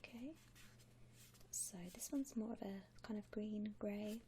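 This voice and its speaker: A woman playing a gentle vampire coffin saleswoman in a whispered ASMR roleplay, unhurried, with hesitant pauses and soft hums. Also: mid-range voice, steady tone, a little hiss or hum, close to an everyday voice. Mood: soft, attentive. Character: softly whispering female vampire coffin saleswoman, attentive and discreet. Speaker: ASMR performer playing a shop consultant.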